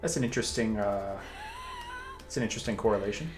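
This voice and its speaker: A young man chatting casually with a co-host while drawing, relaxed and laughing through his stories. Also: fairly low pitch, quick pace. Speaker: streaming artist. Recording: faint music behind.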